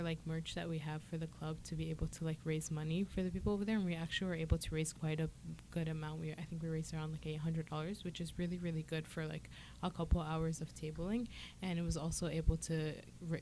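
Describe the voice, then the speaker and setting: A young woman student with a low, flat, mellow voice answers questions in a halting, filler-heavy way. Faint background noise sits under her voice. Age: young adult. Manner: hesitant, many fillers like "um" and "like". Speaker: student club member. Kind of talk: casual interview answers.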